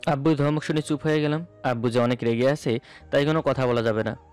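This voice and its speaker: A man's voice: mid-range voice, fairly even intonation, fast talker, a little mellow. A man narrating a romantic story in the first person, in a dramatic storytelling style. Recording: music bed under the voice. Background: background music.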